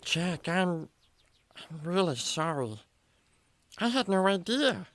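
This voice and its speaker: A woman's voice: on the low side, very animated, takes it slowly, slight background noise. A woman voicing a naive, curious young animal sidekick in a children's radio drama, with wide-eyed, slangy exclamations. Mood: sympathetic.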